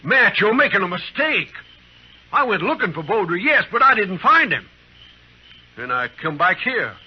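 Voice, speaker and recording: A man's voice: sits high, sing-song, normal speed, husky, full character voice. An actor playing an anguished, proud frontier man accused of a crime, plain-spoken and earnest, in a muffled old radio western. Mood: desperate, protesting. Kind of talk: old-time radio acting.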